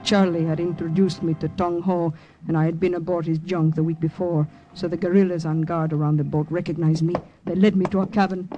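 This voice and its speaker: A tense woman spy played by an actress tells her story in vintage radio thriller style. She speaks with grave, deliberate drama. Music runs behind her on the old broadcast recording. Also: fairly low pitch, flat delivery, highs cut off, lightly acted. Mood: grave, suspenseful. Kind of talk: 1940s-50s radio drama acting and first-person narration.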